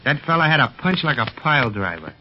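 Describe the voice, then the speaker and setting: An actor playing a grumbling, wisecracking pilot sidekick in a 1930s radio serial, slangy and exasperated. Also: male voice, medium pitch, very animated, fast, lightly acted. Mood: indignant. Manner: slangy, exasperated comic complaining. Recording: muffled, noisy 1930s radio recording.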